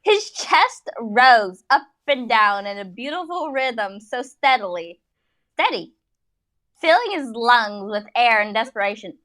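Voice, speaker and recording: Theatrical, slow-paced woman narrator. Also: a little high, very animated, fairly bright tone. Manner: theatrical, drawn-out phrasing. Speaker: narrator of a comic dramatic reading. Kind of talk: mock-dramatic fan fiction narration with asides.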